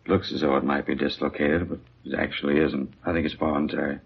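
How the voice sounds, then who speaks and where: A man's voice: rumbling low, level tone, fast, gravelly, breathy edge, heavily acted. An actor playing a matter-of-fact, mildly absent-minded doctor in a 1950s radio crime drama, musing as he talks. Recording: dull old radio recording.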